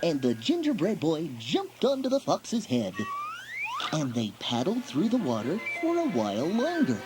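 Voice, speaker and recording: A man narrating a children's bedtime story in an expressive, dramatic storyteller's manner. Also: on the high side, normal speed. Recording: music behind the voice. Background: music.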